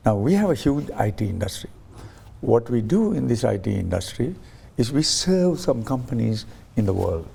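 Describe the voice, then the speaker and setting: A man's voice: mid-range voice, very animated, moderate pace, vocal fry, warm tone, slightly rough. A man interviewed as a guest on a talk show, explaining his ideas through stories and acting out quoted voices, with frequent restarts. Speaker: guest, science ambassador.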